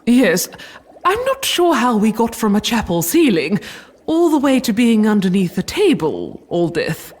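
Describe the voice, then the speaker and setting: A woman's voice: medium pitch, wildly swooping pitch, measured pace, darkish tone. An actress playing a polite, gracious and easily bemused medieval queen in a comic audio drama.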